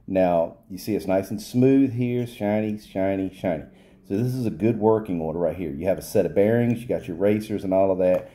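A man's voice: sits low, mellow. A man in his workshop explaining a pump repair off camera, talking in a loose, plain way with fillers and restarts. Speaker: repair technician. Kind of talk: casual hands-on repair demo. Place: workshop, off camera behind the bench.

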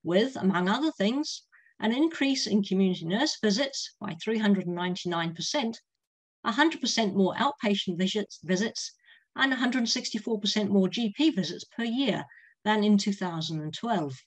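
An older woman with a New Zealand accent, a nurse giving a steady talk from slides over a video call from home. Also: mid-range voice, moderate pace, slightly rough, poor audio. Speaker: consultant nurse presenter. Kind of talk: presenting a lecture. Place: room at home. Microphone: laptop webcam on a video call.

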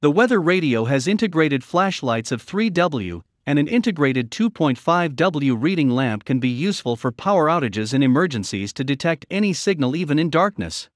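Man narrating products, lively pitch. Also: normal speed, hardly any pauses, no echo, clean studio sound. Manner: reading from a script. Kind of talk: scripted promotional voice-over narration.

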